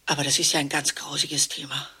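Worked-up chatty wife in a satirical radio play, husky but sharp, rambles on all indignant. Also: female voice, low-pitched, level tone, brisk, exaggerated voice. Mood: sardonic, resigned. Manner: rambling, indignant, breaking off mid-sentence. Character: agitated, garrulous wife of a celebrity dentist.

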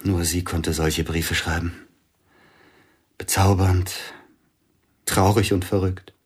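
A bitter grieving pianist. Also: male voice, deep voice, steady tone, slow pace, husky, full character voice. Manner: brooding, drops to hushed near-whispers. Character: grieving older male pianist, bitter, suspicious. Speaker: actor in radio drama. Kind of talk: radio play acting.

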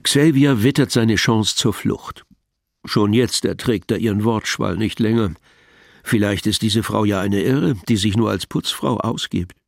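A man's voice: fairly low pitch, measured pace, vocal fry, no room sound, clean studio sound. A man giving scripted literary narration in an audio production. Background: music.

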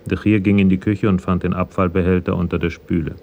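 A man's voice: low-pitched, little pitch movement, quick pace, warm tone, muffled recording, slight background noise. A man narrating a scripted radio crime drama in a matter-of-fact manner.

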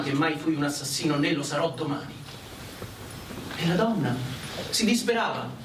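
High-voiced man declaiming in an echoey space. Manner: earnest, declamatory. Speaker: performer reciting. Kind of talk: dramatic literary monologue. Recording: echoey, distant, faintly noisy pickup.

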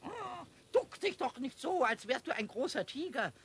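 A man voicing a cheeky, boastful little kobold in a children's radio play, squeaky and stammering over repeated words. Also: sing-song, normal speed, gravelly, a bit bright, full character voice.

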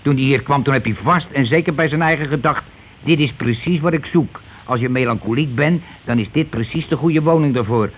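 An actor playing a bossy, indignant, petty working-class husband in a Dutch radio play, with a blustering, colloquial way of talking. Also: male voice, medium pitch, fairly quick, breathy edge, close to an everyday voice. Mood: aggrieved. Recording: noisy old radio play recording.

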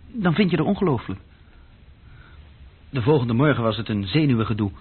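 A man's voice: a little high, breathy edge. An actor playing a restless young man telling of his adventures in a conversational radio-drama style. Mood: marvelling, animated. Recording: dull, lo-fi old radio recording.

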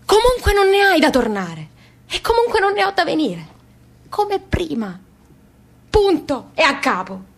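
An actress playing a naive, stubborn young newlywed daughter in a radio play, husky. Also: female voice, extremely high pitch, sing-song, a little slow, pauses often, exaggerated voice. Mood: defiant. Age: young adult. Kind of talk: theatrical radio drama dialogue.

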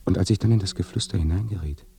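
Low, gravelly, haunted dead man. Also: quick pace, dark tone, slight background noise. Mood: haunted, brooding. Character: dead son recounting his death by fear, haunted, weary. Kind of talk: German radio play acting, slow intimate monologue.

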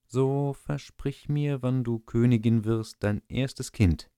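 A man voicing a greedy, spiteful little imp in a storybook reading, with a high, slow, taunting delivery. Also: warm tone, clear, resonant tone, no echo, studio quality. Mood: wheedling. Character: spiteful tiny male imp, shrill and greedy. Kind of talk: audiobook reading of a fairy tale.

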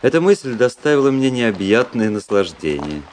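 An actor playing a cynical, proud young officer who narrates his own story in a measured, literary radio play style. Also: mid-range voice, big pitch swings, a little mellow, a little hiss or hum, natural voice. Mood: brooding, self-satisfied.

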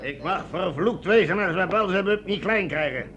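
An actor playing a blustering army captain in a Dutch radio play, speaking in a theatrical, commanding way. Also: male voice, sits high, animated, normal speed, highs cut off, a crowd in the background. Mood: grave, challenging.

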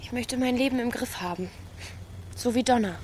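Woman playing a high-voiced sullen teenage girl. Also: moderate pace, a little mellow, slight background noise, close to an everyday voice. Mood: sullen, stubborn. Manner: curt, grudging, snide. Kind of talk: naturalistic radio drama dialogue.

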